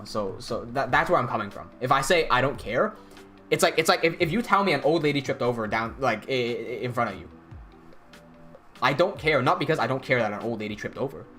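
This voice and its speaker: A man's voice: medium pitch, a bit bright. A young man from Hong Kong, chatting casually on a live stream and answering chat questions with restarts and blunt swearing. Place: indoor room at desk.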